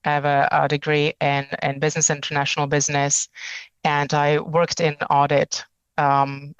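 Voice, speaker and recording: A woman from the Czech Republic in her forties, a company CEO answering interview questions in a measured way with frequent pauses and fillers. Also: deep voice, clean tone, no room sound, muffled recording.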